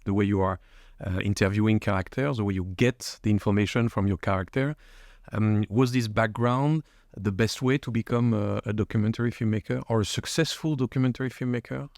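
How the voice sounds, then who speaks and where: A man's voice: fairly low pitch, brisk, a little mellow, dry recording, studio quality. A man hosting an interview podcast, asking probing questions and rephrasing them at length. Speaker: podcast host.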